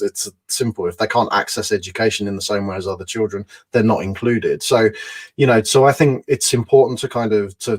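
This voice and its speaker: A man, an advocate and trainer, speaking earnestly in a conversational interview and explaining at length with lots of "you know" and "kind of". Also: on the low side.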